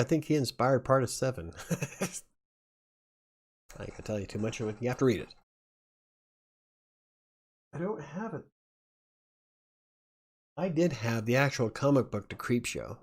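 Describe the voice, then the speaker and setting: A man's voice: mid-range voice, lively intonation, measured pace, long pauses, husky. A man hosting a casual live chat, rambling and chuckling as he talks. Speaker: livestream host.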